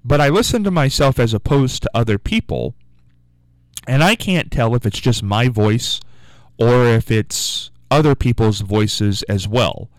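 Man, radio call-in host, casual musing talk, clear resonant voice, dry studio mic. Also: mid-range voice, expressive, unhurried, dry recording, poor audio. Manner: rambling, musing, asks listeners questions. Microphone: studio microphone.

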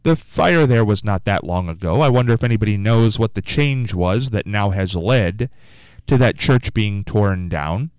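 A man's voice: on the low side, expressive, moderate pace, darkish tone, clear, resonant tone, dry recording, rough recording. A man hosting a morning call-in radio show. He talks in a rambling, musing way and keeps asking listeners to phone in. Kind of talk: casual call-in radio talk. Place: radio studio. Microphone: studio microphone.

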